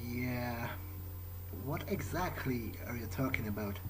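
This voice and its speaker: A man's voice: medium pitch, lively intonation, takes it slowly, noisy background. A man doing in-character gameplay commentary, thinking aloud in a hesitant, musing way. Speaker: let's player. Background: game ambience.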